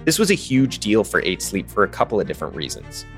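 A man reading scripted narration for a business podcast in a polished, even delivery. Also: on the low side, quick pace, soft background music.

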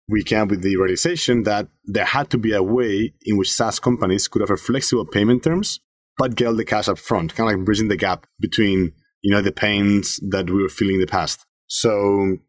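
A man from Spain, a startup founder being interviewed on a podcast, talking fast with many fillers and restarts. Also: lowish voice, fairly even intonation, no echo, studio quality. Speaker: startup CEO guest.

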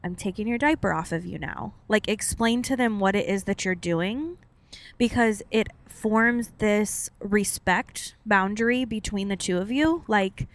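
A woman's voice: medium pitch, measured pace. A young woman co-hosting a podcast, chatting casually and a bit long-windedly, with frequent laughs and "like" fillers. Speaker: podcast co-host. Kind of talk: casual chat.

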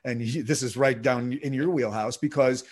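A man being interviewed, explaining his work in measured, expansive sentences with frequent fillers. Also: medium pitch, steady tone, husky. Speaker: podcast and audiobook producer. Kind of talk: interview guest, conversational explaining.

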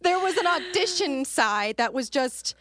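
A woman's voice: high-pitched, expressive, measured pace, fairly bright tone, dry recording, clean studio sound. A woman co-hosting a podcast, telling an exasperated story with frequent laughs and swearing. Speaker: podcast co-host. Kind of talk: casual chat over video call. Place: recording studio.

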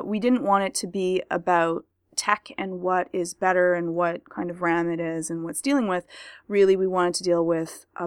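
A woman from Canada joins a casual podcast chat as a guest. She laughs readily and settles into a steady, thoughtful explaining tone. Her voice is dry and close, with no room around it. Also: fairly low pitch, no echo. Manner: laughs often, enthusiastic then measured when explaining.

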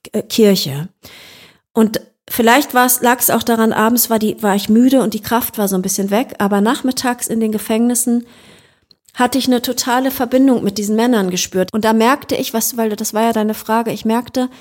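A woman with a fairly high voice speaks as a podcast guest. She talks reflectively and searches for words, often restarting with small fillers. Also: a little mellow. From Germany. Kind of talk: reflective interview talk.

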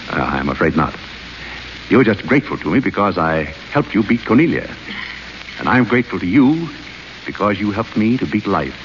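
An actor playing a calm, dry-witted gentleman butler in 1930s radio acting, gentle and patient, on a muffled old radio broadcast. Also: on the low side, normal speed, long pauses, a little hiss or hum.

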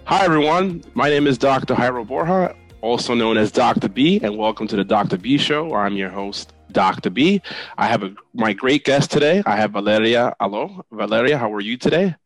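A man's voice: mid-range voice, animated, a little fast, no echo, faint music behind, rough recording. A man hosting an interview show, talking with his guest. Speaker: podcast host.

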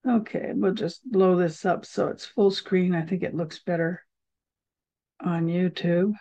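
Mellow-voiced woman hosting a herbal podcast. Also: fairly low pitch, fairly even intonation, measured pace. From United States. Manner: chatty, rambling, many fillers and asides. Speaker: podcast host teaching herbal medicine. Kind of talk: casual teaching talk. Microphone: video call recording. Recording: slightly dull video call audio.

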